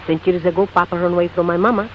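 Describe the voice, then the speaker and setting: An actress playing a spirited, cheeky Brazilian secretary with a Brazilian accent and exclamatory broken English, in 1940s radio comedy style. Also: female voice, fairly low pitch, steady tone, rapid-fire, a little hiss or hum, close to an everyday voice. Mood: feisty. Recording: muffled vintage 1940s radio broadcast.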